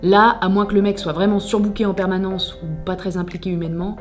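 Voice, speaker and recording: A woman's voice: medium pitch, steady tone, fast, echoey room, soft background music. A woman presenting a scripted tutorial in a direct, conversational way with wry, playful humour. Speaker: presenter of job-search tutorial.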